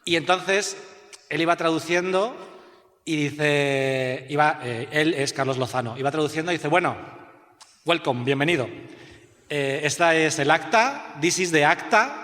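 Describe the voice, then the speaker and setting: A man's voice: fairly high pitch, a little slow, fairly bright tone. A man hosting a live podcast on stage, telling anecdotes and laughing at them. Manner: storytelling, laughs at his own anecdotes. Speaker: podcast host. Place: stage before a live audience. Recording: long hall reverb.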